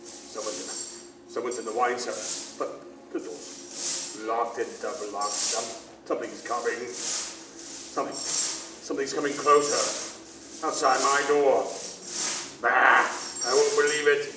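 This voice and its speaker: A man's voice: mid-range voice, little pitch movement, measured pace, long pauses, natural voice. An actor playing a miserly, bitter old businessman with a blustering, snappish manner in a radio theater reading. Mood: frightened, suspicious. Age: older. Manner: blustering, snappish, stammering when rattled. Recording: echoey distant stage recording, faint noise.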